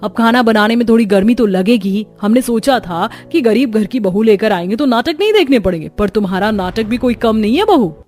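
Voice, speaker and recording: A woman's voice: fairly high pitch, lively intonation, a little fast, few pauses, darkish tone. A woman voicing a disapproving older mother-in-law in a cartoon, stern, sarcastic and scolding.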